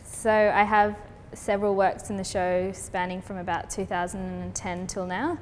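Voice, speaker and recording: A young Colombian-born woman, explaining her artwork in a steady, thoughtful way with frequent fillers. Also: mid-range voice, normal speed. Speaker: artist. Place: gallery space. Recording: long reverb with faint background noise.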